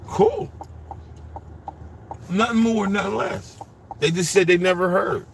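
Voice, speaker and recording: A man's voice: sits high, takes it slowly, gravelly. Man, 40s, rambling livestream talker in a car, street noise behind. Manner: rambling, animated and repetitive, restarts often. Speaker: livestreamer and driver. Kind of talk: casual monologue. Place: inside a parked vehicle.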